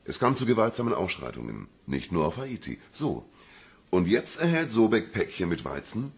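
A man playing a self-satisfied detective with a gravelly voice, laying out a case in a German radio drama. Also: sits low, big pitch swings, normal speed, heavily acted. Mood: matter-of-fact. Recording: clear ambient noise behind.

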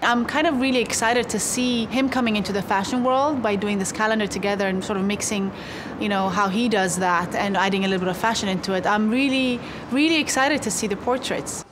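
A woman's voice: mid-range voice, fairly quick. A woman from Ethiopia, a model, talking earnestly and conversationally in an interview. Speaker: fashion model. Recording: noisy ambience.

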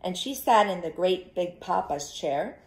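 A middle-aged woman telling an interactive story to young children, expressive and instructive, inviting them to join in, recorded in a living room. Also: fairly low pitch, unhurried, roomy sound, low-quality recording. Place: small living room, indoors. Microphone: camera a short distance away.

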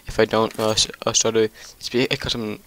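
A man's voice: fairly low pitch, level tone, brisk, fairly bright tone, slight background noise. Young man with a frequent stutter. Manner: stutters often, many fillers and restarts. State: tired. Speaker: gaming channel host. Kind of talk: casual commentary over gameplay.

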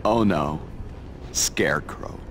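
A man's voice: very deep voice, slight background noise. A man playing a deranged, taunting masked villain who revels in fear, in a video game scene. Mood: mocking glee. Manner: slow, sinister, taunting. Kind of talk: dramatic video game cutscene acting.

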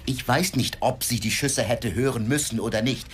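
An actor playing a stern, impatient newspaper boss in a radio drama, curt and commanding. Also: male voice, mid-range voice, moderate pace, close to an everyday voice.

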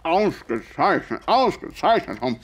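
An actor playing a genial, jovial Victorian gentleman doctor in audio drama dialogue. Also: on the high side, very animated, a little slow. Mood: amused, hearty.

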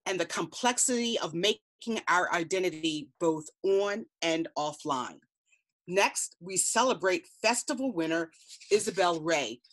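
A woman's voice: fairly low pitch, fairly bright tone. A woman giving a scripted introduction as a festival presenter.

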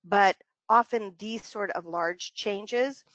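A woman leading a training webinar, talking through software steps conversationally, thinking aloud and repeating phrases for emphasis. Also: medium pitch, slow, no echo. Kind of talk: conversational step-by-step software walkthrough. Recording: dull, lo-fi dry webinar audio.

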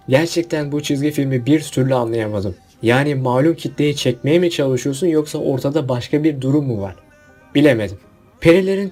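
Male commentator, husky and relaxed, opinionated and jokey, casual countdown-video delivery. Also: fairly high pitch. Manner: opinionated, jokey asides with rhetorical questions. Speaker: video host.

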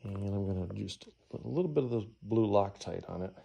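A man's voice: lowish voice, expressive, a little fast, vocal fry, mellow, slight background noise. A middle-aged man gives a casual hands-on tutorial, muttering to himself as he works in a garage. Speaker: DIY engine rebuilder giving a how-to. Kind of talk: casual hands-on tutorial, talking while working.

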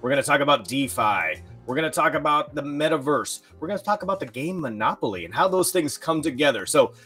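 A man's voice: a little high, lively intonation, quick pace, a bit bright, slightly rough, faint music behind. A man in his thirties hosting an upbeat online interview, speaking close into a desk microphone. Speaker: podcast host. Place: small home studio, video call. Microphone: large close desk microphone, headset.

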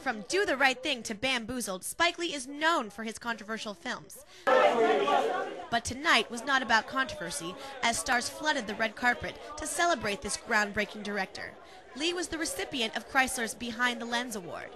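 A woman's voice: fairly high pitch, animated, moderate pace, over loud music, a loud crowd. A young American woman reporting scripted TV news in a formal, enunciated newscaster delivery. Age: young adult.

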